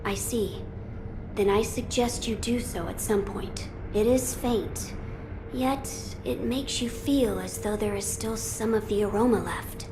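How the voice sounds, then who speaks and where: A woman's voice: mid-range voice, a little slow, close to an everyday voice. A woman voicing an earnest, noble young boy lord in a game cutscene, formal and thoughtful in delivery. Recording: clear ambient noise behind dialogue.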